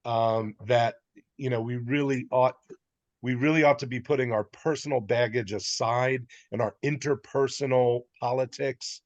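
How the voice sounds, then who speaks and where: A man speaking as a board chair in an online meeting, putting a suggestion in a halting, deliberate way. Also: mid-range voice, dry recording. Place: video call. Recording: dull, muffled video-call audio.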